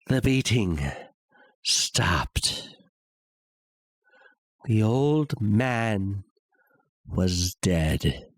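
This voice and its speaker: A man playing an obsessive, unhinged murderer in a dramatic gothic horror reading, halting and theatrical with suspenseful pauses. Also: medium pitch, dry recording. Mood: grim satisfaction. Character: obsessive, unhinged murderer confessing his crime.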